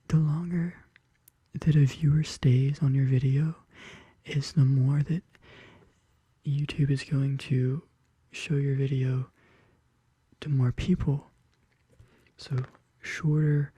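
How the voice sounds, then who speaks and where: An ASMR host whispering softly and casually in a gentle, reassuring manner, close to the microphone. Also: mid-range voice, no room sound. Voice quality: breathy whisper. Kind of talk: soft-spoken whispered ASMR. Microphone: close studio microphone.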